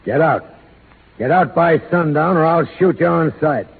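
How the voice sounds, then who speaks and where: An actor playing a sneering, bullying American cattle rustler in a 1930s radio western, taunting and menacing in a folksy way. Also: male voice, on the high side, normal speed, creaky, husky, long reverb tail, full character voice. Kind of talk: 1930s radio drama acting. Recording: tinny, noisy old radio recording.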